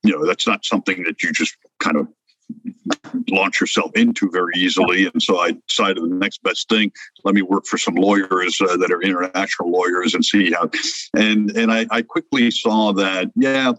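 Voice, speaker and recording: A man's voice: deep voice, steady tone, normal speed, pushed and bright, dry recording, low-quality recording. An older man, born in France, speaking as an interview guest in a reflective way, with many hesitations and restarts. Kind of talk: conversational interview.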